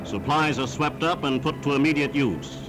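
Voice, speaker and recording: High-pitched 1940s newsreel man over loud music. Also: moderate pace, poor audio. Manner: declamatory, emphatic, formal. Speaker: newsreel narrator. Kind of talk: 1940s wartime newsreel narration, scripted. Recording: vintage 1940s newsreel soundtrack. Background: orchestral newsreel music.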